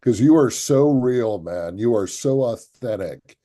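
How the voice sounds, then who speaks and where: A man's voice: mid-range voice, expressive, a little slow, warm tone. A man co-hosting a podcast as a voice actor, talking earnestly and encouragingly in casual chat.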